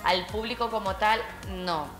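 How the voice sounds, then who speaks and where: A woman's voice: mid-range voice, unhurried, reverberant, over music. A woman co-hosting a TV news segment, talking in long, flowing sentences. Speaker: TV co-host. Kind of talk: studio news chat. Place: TV studio, indoors.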